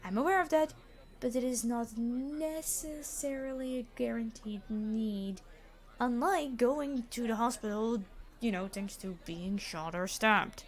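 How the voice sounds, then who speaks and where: Woman acting high-voiced, exasperated supervillain. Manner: dry, formal, bossy, matter-of-fact. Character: famous immortal supervillain, exasperated, reluctantly decent. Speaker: robbery leader. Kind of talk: roleplay audio acting.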